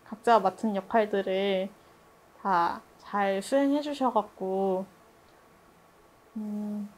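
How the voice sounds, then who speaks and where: A woman's voice: mid-range voice, steady tone, slow, a little mellow. A woman speaking as a production director in a reflective group discussion, thoughtful and hesitant, often laughing a little as she talks.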